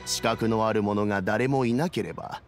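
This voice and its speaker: Low-voiced, measured old man played by an actor. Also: music in the background, lightly acted. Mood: deliberate. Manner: measured, old-fashioned elder's phrasing. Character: elderly, measured, grey-haired male mentor. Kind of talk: anime video game dialogue.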